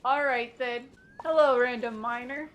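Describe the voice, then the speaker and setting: High pitched woman chatting through a game, jokey retelling, casual commentary. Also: steady tone, unhurried, slight background noise. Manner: jokey paraphrasing of characters. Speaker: gaming video host and player.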